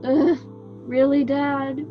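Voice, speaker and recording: A woman's voice: sits high, flat delivery, drawn-out words. A woman playing an angsty, whining teenage son of a god in an amateur student radio drama. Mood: whiny pleading. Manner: sulky, whining. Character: angsty teenage demigod son begging for a chariot. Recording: dull lo-fi recording with loud music. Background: music.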